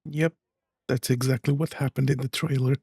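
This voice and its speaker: A man streams a video game and comments casually as he goes. His voice is mellow and a little husky, with low-key muttered asides. It sounds dry and close, with faint music behind it. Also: flat delivery, moderate pace, no echo. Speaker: gaming streamer.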